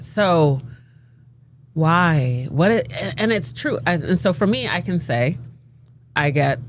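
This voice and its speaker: Middle-aged woman, low voice, animated and emphatic panel talker. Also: gravelly, a little hiss or hum. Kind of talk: casual group discussion.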